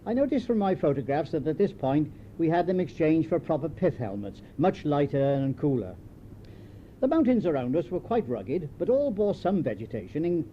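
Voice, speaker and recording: Older British man, lively intonation, dull tape. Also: on the high side. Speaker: memoirist reading own writing. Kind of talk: scripted memoir narration. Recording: dull lo-fi home tape, faint noise.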